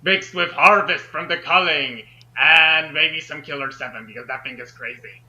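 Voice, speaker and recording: A man with a high, very sharp voice co-hosts a casual reading at a slow pace. He banters and comments, and the recording is a little lo-fi. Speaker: co-host of a group fan-fiction reading. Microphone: home mic, drifting away from it. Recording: dull lo-fi microphone sound.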